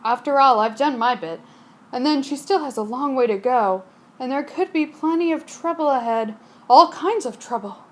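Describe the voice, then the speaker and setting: A woman voicing a gentle, good-natured male sea serpent in a storybook reading, musing in a mild voice. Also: sits high, normal speed, lightly acted. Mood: resigned.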